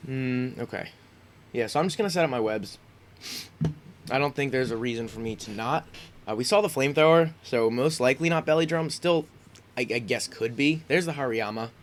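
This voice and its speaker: A man's voice: mid-range voice, brisk, slight background noise. A congested man giving casual commentary on his own game, thinking aloud with frequent ums and restarts. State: congested, sniffling. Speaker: gaming YouTuber.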